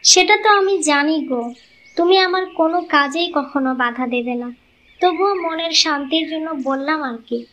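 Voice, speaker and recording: A woman's voice: high voice, normal speed, a bit bright, muffled recording. A woman voicing a selfish young village wife with a coaxing manner in a cartoon. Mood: sweet, coaxing. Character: selfish, greedy young village wife, sweet-talking but secretly resentful. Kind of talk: cartoon moral-story voice acting.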